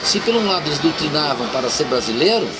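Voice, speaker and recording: A man's voice: a little high, sing-song, quick pace. A man in a casual, reflective and opinionated political discussion. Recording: very loud music and vehicle noise.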